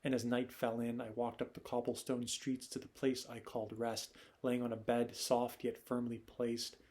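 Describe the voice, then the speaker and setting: A man performing a poetic monologue as a nostalgic, yearning wanderer, in a measured recital style. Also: mid-range voice, completely flat delivery, darkish tone, close to an everyday voice. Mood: reflective. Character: nostalgic wandering male poet, reflective and yearning.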